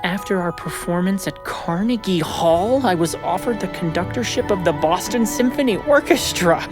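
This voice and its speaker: A woman's voice: lowish voice, lively intonation, moderate pace, over music, lightly acted. A woman playing an earnest, self-important middle-school detective in an audio drama, with formal, precise and rather pompous wording. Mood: grand, reading aloud.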